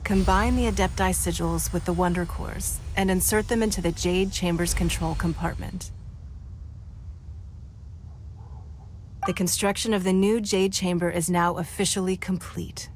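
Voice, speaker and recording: Actress as shrewd, gracious game ruler. Lowish, stately, formal, faint ambience behind. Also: female voice, fairly even intonation, normal speed, hardly any pauses, a little hiss or hum, lightly acted. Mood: stately, satisfied. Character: poised, powerful lady leader, gracious and shrewd. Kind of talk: scripted video game voice acting.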